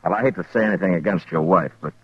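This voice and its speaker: An actor playing a weary, level-headed American frontier marshal, terse and dry, in an old-time radio western. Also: male voice, low-pitched, rapid-fire, slightly rough, no echo. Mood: concerned, urgent. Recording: dull vintage radio recording.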